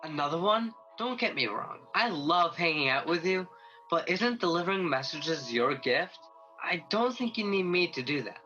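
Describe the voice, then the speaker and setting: A restless angel, acted by a man with a bright, slightly high voice. He questions everything with a discontented edge, as in a radio play, on a muffled video-call recording with music underneath. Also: expressive, moderate pace. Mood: frustrated, doubting. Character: restless young male angel, doubting and eager for action.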